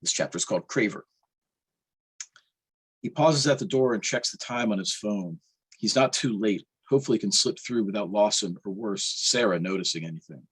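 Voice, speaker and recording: A man with a lowish, rather raspy voice reads literary fiction aloud. He sounds like an author at a reading, narrating his own prose with a wry edge. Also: moderate pace.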